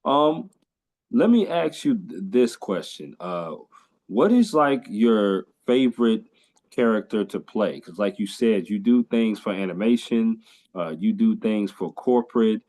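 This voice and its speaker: A man with a fairly low voice hosts a casual podcast interview. He talks with eager enthusiasm, full of "uh"s and repeated phrases. He sounds upbeat and keeps the talk flowing with his questions. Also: animated, measured pace, darkish tone. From California. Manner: enthusiastic, lots of fillers and repeats. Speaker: podcast host.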